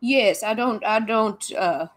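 A woman's voice: mid-range voice, takes it slowly. A woman putting on the voice of a forgetful eighty-nine-year-old grandmother who rambles and mishears things. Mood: rambling. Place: small home room, indoors.

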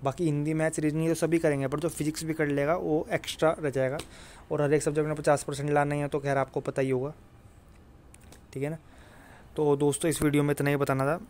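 A man's voice: a little high, flat delivery, quick pace, a little mellow, slight background noise. A young man casually explaining exam and job details to students in a chatty way, often checking in with them. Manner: chatty, keeps asking "theek hai na bhai". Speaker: exam coaching YouTuber.

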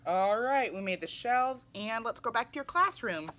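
A woman reading a picture book aloud to young children in an animated, exclamatory way. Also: fairly high pitch, normal speed. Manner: animated, exclamatory, with audible gasps. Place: small indoor room, seated in armchair.

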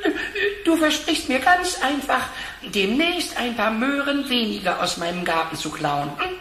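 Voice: medium pitch, lively intonation, moderate pace, fairly bright tone, close to an everyday voice. An actor playing a grumpy, timid, easily outraged male character in a children's cartoon audio play, hesitant and fussy. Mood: grumbling. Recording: roomy, noisy old cassette.

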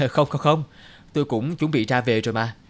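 A man voicing courteous, sociable businessmen with formal politeness in an animated cartoon. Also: mid-range voice, moderate pace, lightly acted. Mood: polite, sociable. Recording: faint music under the dialogue.

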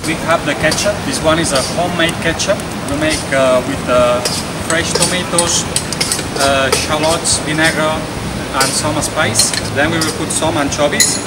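A man in his thirties, a chef, walking through a recipe step by step in non-native English with frequent fillers, outdoors on a hotel terrace. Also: fairly high pitch. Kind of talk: cooking demonstration. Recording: distant voice under loud music.

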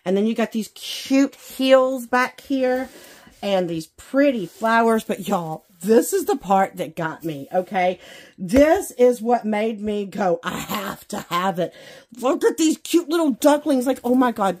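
A woman chatting casually to viewers as a craft vlogger, in a gushing, exclamatory, folksy way. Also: a little high. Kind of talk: casual unboxing chat to viewers. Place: indoors at a craft table.

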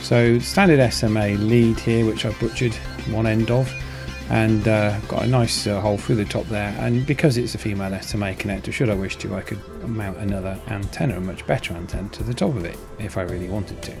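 Low-pitched guy chatting through a diy project, pretty modest, guitar music going behind him. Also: steady tone, brisk, vocal fry, hardly any pauses. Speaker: maker presenting his hobby build. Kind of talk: casual how-to narration.

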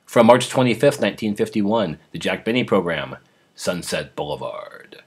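A man with a husky voice, introducing old radio programs as the host. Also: sits low, animated, moderate pace.

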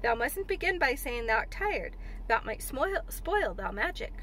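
A cheerful Yorkshire country boy, played by a woman reader, innocent and kindly. He speaks homely storybook dialect in a bright voice, over faint background noise. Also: extremely high pitch, very animated, measured pace, heavily acted, low-quality recording. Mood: gently chiding.

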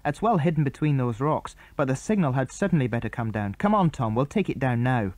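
A man's voice: medium pitch, lively intonation, a little fast, darkish tone, no room sound, natural voice. A man reading aloud and voicing a brave, thoughtful young boy who leads the others, in an eager, earnest way.

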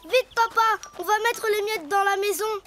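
A performer voicing an eager, tender little boy donkey in a French preschool cartoon, earnest and expressive. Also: very high voice, normal speed. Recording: music behind the voice.

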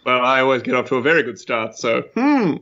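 Man as a bright, pompous little adventurer. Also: mid-range voice, sing-song, fairly quick, muffled recording. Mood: pompous, mocking. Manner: pompous, theatrical. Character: brash, pompous, cheeky small adventurer creature.

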